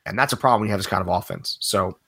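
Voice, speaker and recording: A man co-hosting a sports podcast while he has a cold, sounding a little raspy, talking in a rambling, opinionated way and laughing often. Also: on the low side, very fast, a bit bright. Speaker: regular podcast co-host. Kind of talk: casual sports podcast chat.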